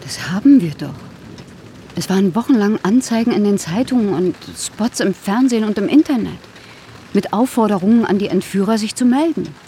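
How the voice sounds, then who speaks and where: A woman's voice: medium pitch, expressive, moderate pace, dark tone, a little hiss or hum, lightly acted. Caring, restrained woman in radio drama, played by an actress. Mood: defensive, pained. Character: famous film actress, caring, guarded, grieving older sister. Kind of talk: naturalistic German radio drama acting.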